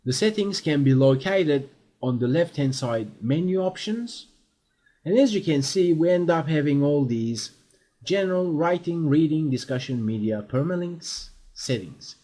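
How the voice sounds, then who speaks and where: A man talking through a screen-recorded software tutorial as an instructor, in an explanatory way with fillers and quick checks for understanding. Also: fairly high pitch, lively intonation.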